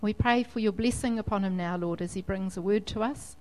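Chatty New church service leader. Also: female voice, medium pitch, fairly quick, darkish tone, low-quality recording. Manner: friendly, chatty, leads a prayer. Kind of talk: leading a church service and interview.

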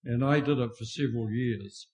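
An older man with a soft voice, opening a sermon with greetings in a slow, self-deprecating way. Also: mid-range voice, rough recording. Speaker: guest preacher.

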